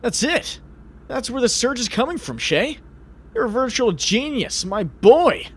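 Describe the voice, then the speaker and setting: Guy doing an excitable jokey young superhero, high voice that swings all over, comic dub, noise behind. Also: measured pace, full character voice. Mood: excited. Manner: theatrical, joking, exclamatory. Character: wisecracking young male superhero, chatty, excitable, nervy. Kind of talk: comic dub narration and dialogue.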